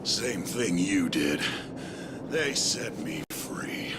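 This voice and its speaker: An actor playing a taunting, defiant turncoat soldier, the sneering villain of a military game. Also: male voice, deep voice, big pitch swings, extremely slow, exaggerated voice. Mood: menacing, quiet. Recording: loud aircraft engine noise.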